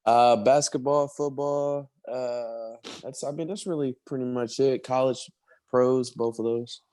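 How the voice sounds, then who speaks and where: A man's voice: medium pitch, fairly even intonation, a little slow, warm tone. A man appearing as a guest on a casual sports podcast, talking in a laid-back, blunt way.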